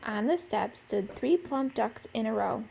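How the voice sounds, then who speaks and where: Slow, creaky-voiced woman reading to children. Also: on the high side, noisy background. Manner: expressive, simple phrasing for young children. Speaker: teacher reading aloud to children. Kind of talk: picture book read-aloud.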